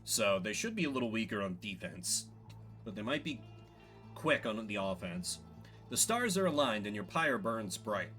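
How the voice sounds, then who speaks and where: A man streaming a video game, switching between casual commentary and expressive reading of the story text, playful in manner. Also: lowish voice, moderate pace. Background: game music.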